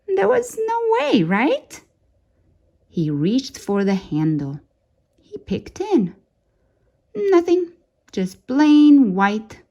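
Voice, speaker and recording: A woman reading a children's story aloud in an expressive, dramatic way, drawing out key words for suspense. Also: medium pitch.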